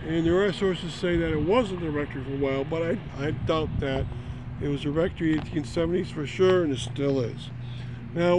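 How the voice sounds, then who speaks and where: Guy doing a rambly history walk outside, bit high voiced, cars going by behind. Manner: rambling, with fillers and self-corrections. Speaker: local history tour guide. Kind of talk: casual walking tour narration. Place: outdoors on a town green by a road. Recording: outdoor traffic noise.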